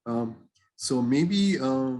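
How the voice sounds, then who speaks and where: A man with an Indian English accent, hosting an online class as lecturer and speaking in a halting way with many fillers, over a video call. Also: medium pitch, animated, warm tone. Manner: halting, lots of uh and restarts.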